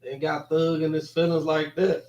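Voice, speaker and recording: Casting: male reaction streamer, slightly high voice, loose slangy commentary, repeats phrases. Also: level tone, takes it slowly, darkish tone. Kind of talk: casual running commentary.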